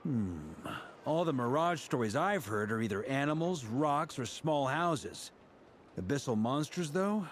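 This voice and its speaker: Lively, curious young adventurer. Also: male voice, on the high side, measured pace, slight background noise. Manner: casual, expressive. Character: youthful, curious, eager male adventurer. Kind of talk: video game voice acting.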